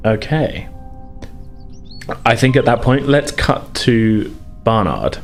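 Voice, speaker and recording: A man narrating a tabletop game as game master, conversational and improvising haltingly as he goes. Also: mid-range voice, sing-song, soft background music.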